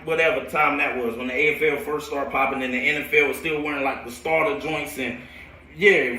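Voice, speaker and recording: An American man who coaches football, telling stories casually and in an animated, slangy, rambling way on a live stream. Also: on the high side. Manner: animated, slangy, laughs often. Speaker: football coach hosting a storytelling show. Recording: roomy echo, distant from the mic.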